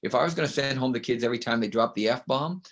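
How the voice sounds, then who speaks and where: A middle-aged man from Canada talks in an emphatic, storytelling way, with a loud voice at an ordinary pitch. He often checks in with the listener with a quick "right?" as a guest in an interview. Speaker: guest author and consultant. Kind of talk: conversational interview.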